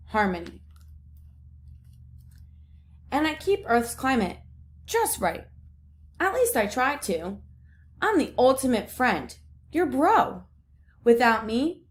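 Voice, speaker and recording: The ocean talks as a chilled-out, bragging surfer dude voiced by a woman reading a picture book. The voice sits a little high. The delivery is slangy and breezy, full of easygoing pride. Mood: friendly, proud. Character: the ocean as a laid-back, boastful surfer dude. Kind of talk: picture book read aloud.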